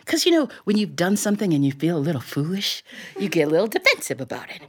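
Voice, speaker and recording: A woman telling a folk tale live in an animated, theatrical way, drawing out key words and speaking straight to her listeners. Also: on the low side, fast talker. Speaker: storyteller. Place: recorded live in a studio.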